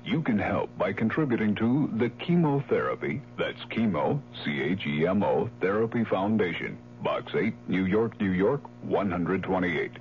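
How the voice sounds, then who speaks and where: A male announcer reads a vintage radio appeal with emphatic, measured delivery. His low voice moves up and down in a lively way, over a muffled recording. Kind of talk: scripted 1970s radio public service announcement. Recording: muffled old radio broadcast, faint hum.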